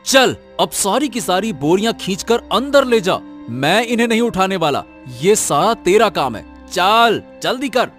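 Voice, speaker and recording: Casting: man as hot-tempered rustic bully for a story cartoon, high swooping voice, music underneath. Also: sing-song, normal speed, few pauses, a bit bright. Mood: angry, threatening. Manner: blustering, scolding. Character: hot-tempered, bullying village donkey owner, cowardly when scared. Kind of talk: animated Hindi moral-story dramatization.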